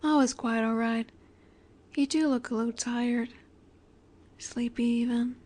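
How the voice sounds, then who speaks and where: A woman playing a poised, teasing young vampire in an intimate audio roleplay, speaking gently and unhurriedly with breathy asides. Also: a little high, fairly even intonation, a little slow. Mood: warm, caring.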